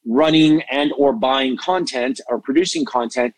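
Expressive, passionate man in a dry recording. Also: a little high, measured pace, gravelly, no echo. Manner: expressive, passionate, self-described hyper. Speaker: interview guest, entertainment media executive and mentor. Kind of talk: animated interview conversation.

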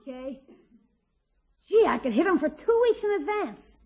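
A woman's voice: high voice, very animated, moderate pace, darkish tone. A woman voicing a cheeky, scheming schoolboy nephew in a 1950s radio comedy, slangy and eager. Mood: gleeful, scheming. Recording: dull, muffled old radio recording.

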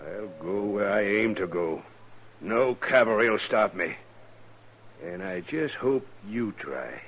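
Menacing frontier buffalo hunter, low voice. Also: male voice, very animated, slow pace, fairly bright tone, muffled recording, full character voice. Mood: hostile. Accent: American. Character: brutal, boastful, menacing old buffalo hunter. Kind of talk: 1950s radio western acting. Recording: faintly noisy old radio recording.

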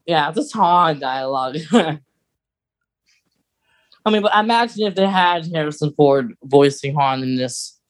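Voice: medium pitch, lively intonation, a little slow, pauses often. Rambling podcast co-host in casual chat.

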